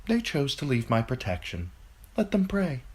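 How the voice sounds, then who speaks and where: A man's voice: medium pitch, wildly swooping pitch, normal speed, darkish tone, breathy edge, voice pushed up. A man voicing a cold, imperious queen who gives curt orders. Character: cold commanding queen, grown woman.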